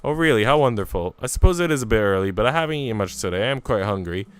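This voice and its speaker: A man voicing a kind, tired working mother, gently teasing, in a visual novel read-aloud. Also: lowish voice, animated, racing, smooth, no echo, soft background music, lightly acted. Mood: warm.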